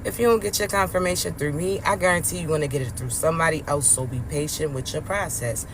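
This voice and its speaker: A speaker with a raspy voice giving an emphatic motivational spiritual message to viewers, often checking in with the listener. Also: mid-range voice, quick pace, noisy background. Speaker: spiritual message content creator.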